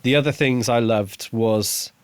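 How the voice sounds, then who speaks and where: A man's voice: medium pitch, a little slow, smooth. A man co-hosting a podcast, talking informally and enthusiastically in a casual book review chat. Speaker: podcast co-host.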